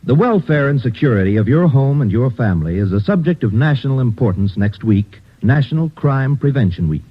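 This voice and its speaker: A male commercial announcer with a persuasive, lively salesman delivery on a 1950s radio broadcast. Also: medium pitch, husky, dull audio.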